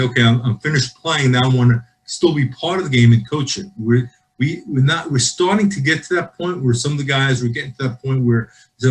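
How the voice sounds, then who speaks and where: A man's voice: mid-range voice, low-quality recording. Earnest man rambling on a video call. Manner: earnest, rambling, many restarts. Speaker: football league official. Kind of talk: panel discussion over video call.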